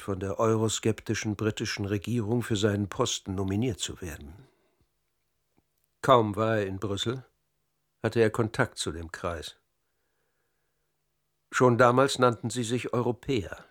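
Casting: actor for psychologist in his fifties, lowish voice, formal, explanatory radio-drama testimony. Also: close to an everyday voice. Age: 50s. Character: Dutch academy psychologist under interrogation, precise and defiant.

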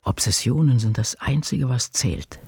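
An actor playing a writer's intense, obsessive inner voice in a radio play, with intimate, dramatic phrasing. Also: male voice, medium pitch, a little slow, music in the background, natural voice. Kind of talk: literary radio play monologue.

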